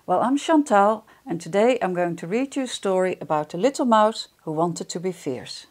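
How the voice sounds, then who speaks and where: A middle-aged woman reading a picture book to young children, expressive and deliberate, addressing them directly. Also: mid-range voice. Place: small wood-panelled room indoors. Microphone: lapel microphone.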